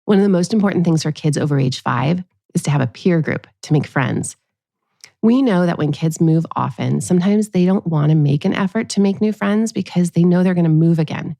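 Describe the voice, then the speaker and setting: A woman's voice: on the low side, fairly quick, creaky, dark tone, dry recording. A woman hosting a podcast as a pediatrician, delivering scripted narration addressed to parents.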